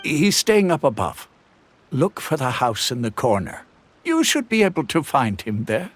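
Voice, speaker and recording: Slow, gentle village head. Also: male voice, mid-range voice, huge pitch swings. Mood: friendly. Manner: unhurried, gentle and welcoming. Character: kindly village head, calm and hospitable. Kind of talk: game voice acting.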